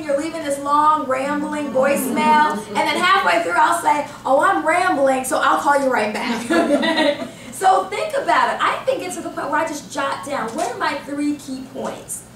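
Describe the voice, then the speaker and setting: High-pitched American woman training a group. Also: normal speed. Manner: animated, emphatic, asks and answers own questions. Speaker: corporate trainer. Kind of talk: interactive workshop presentation to a group. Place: indoor training room. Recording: roomy, distant microphone with background noise.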